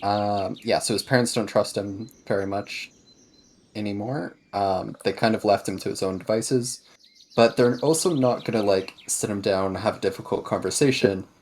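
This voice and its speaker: A man playing a tabletop roleplaying game, talking casually about the story in a halting, measured way. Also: lowish voice, darkish tone, a little hiss or hum.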